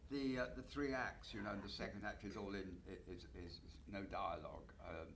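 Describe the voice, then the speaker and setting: A man's voice: lowish voice, animated, brisk, creaky, background noise. A man who is an actor, moderating a panel, talking in a rambling way and searching for words as he goes. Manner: rambling, gropes for words with many fillers and restarts.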